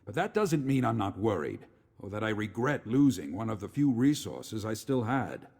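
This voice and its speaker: An actor playing a weary, dutiful middle-aged legion commander in a video game, speaking in a measured, formal, authoritative way. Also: male voice, lowish voice, very animated, vocal fry, darkish tone, breathy edge, exaggerated voice. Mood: dutiful, regretful. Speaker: game character, military commander. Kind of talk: scripted video game dialogue.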